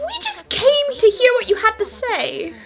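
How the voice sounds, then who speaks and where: A woman voicing an earnest, flustered young detective's assistant in an animated fan dub, polite and pleading. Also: very high-pitched, normal speed, full character voice. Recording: music under the voice.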